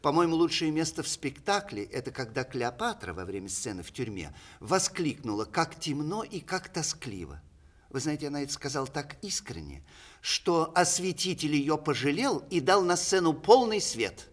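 An actor in a 1960s Russian radio play, playing a witty, vain playwright with ironic, declamatory, precise diction. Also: male voice, fairly high pitch, sing-song, normal speed. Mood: wry, indignant. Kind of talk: 1960s Soviet radio theatre acting.

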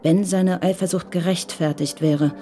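A woman's voice: lowish voice, fairly even intonation, normal speed, music in the background. A woman reading scripted narration for a German radio crime drama.

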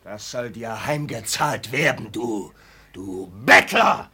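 An actor playing a brutal, coarse and scornful farm master who drinks hard, in the style of 1950s German radio drama. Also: male voice, mid-range voice, animated, slow, sharp tone, full character voice. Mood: angry, threatening. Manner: coarse, scornful, blustering, cursing. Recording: old radio play recording, partly off-mic.

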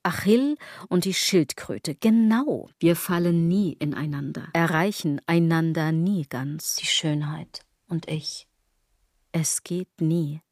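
Radio play monologue: a bitter, ironic woman philosopher, lowish husky voice, dry close sound. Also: takes it slowly, a little mellow, no echo, heavily acted. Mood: wistful, self-mocking. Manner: ironic, theatrical, shifting into breathy whispers. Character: sardonic, brooding woman philosophising bitterly about fashion.

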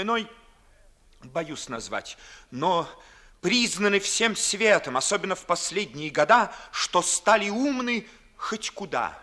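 Young nobleman, sharp-witted and lovesick, bright forceful tone, highish pitch, theatrical 1950s verse declamation. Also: exaggerated voice. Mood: ironic. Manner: declamatory, impassioned, theatrical delivery. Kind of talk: 1950s Russian classical stage acting in verse.

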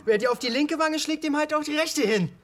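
A woman's voice: sits high, brisk, slight background noise, close to an everyday voice. A woman acting a bossy, taunting gang teenager in a German radio drama, commanding and goading.

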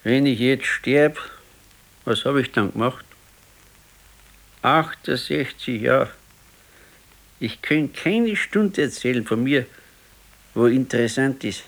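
A man's voice: medium pitch, gravelly, a bit bright, exaggerated voice. An actor playing a discontented, regretful husband in his sixties in a naturalistic radio play, talking plainly with a Bavarian accent. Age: 60s. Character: discontented, regretful ailing 68-year-old husband. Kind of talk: naturalistic 1970s radio play dialogue in dialect.

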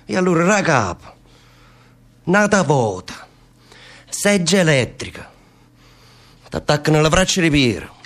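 A man's voice: on the high side, big pitch swings, unhurried, pauses often, a bit bright. An actor playing a brooding, fatalistic man facing death, speaking earthy, insistent dialect with a southern Italian accent in a theatre drama. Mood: grim. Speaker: stage actor in a radio theatre play.

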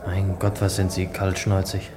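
A man's voice: low voice, completely flat delivery, moderate pace, natural voice. An actor playing a wary young man on the run in a German radio drama, asking short, startled questions on a noisy old recording. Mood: anxious.

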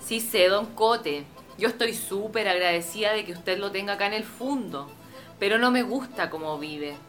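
A woman's voice: mid-range voice, normal speed, a bit bright, faint music behind, close to an everyday voice. A woman playing an earnest, insistent, status-conscious professional daughter with a Chilean accent, in a radio drama. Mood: polite, concerned.

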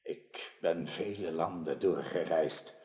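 An older actor playing a grieving, dignified old king in a radio drama, with a solemn, halting delivery. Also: sits low, warm tone. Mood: sorrowful, grave. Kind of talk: theatrical radio drama acting. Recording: dull, echoey radio play recording.

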